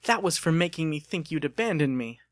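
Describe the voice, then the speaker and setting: A man's voice: fairly high pitch, animated, a little fast, dry recording, clean studio sound, pitched above the performer's own voice, close to an everyday voice. Man putting on an emotional, lilting young sweetheart woman. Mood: scolding. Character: excited, affectionate, bossy young woman sweetheart. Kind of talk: dramatic audiobook character voice.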